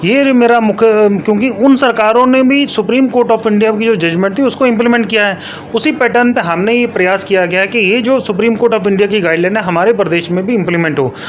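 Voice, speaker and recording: A man's voice: high-pitched, quick pace, a little hiss or hum. A man answering interview questions as an elected local politician, explaining emphatically and repeating his points with English terms mixed in.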